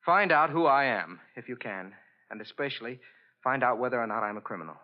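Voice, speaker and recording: A man's voice: medium pitch, lively intonation, fairly quick, lightly acted. An actor playing an earnest, anxious young man with amnesia in 1940s radio style, speaking hesitantly as he explains himself. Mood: earnest, uneasy. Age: young adult. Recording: muffled old radio recording.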